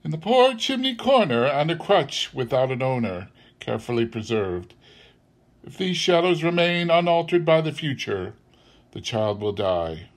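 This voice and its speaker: A man's voice: mid-range voice, very animated, normal speed, voice pushed up. A man voicing a stern, solemn spirit who rebukes in a grave, oratorical manner. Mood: solemn foreboding.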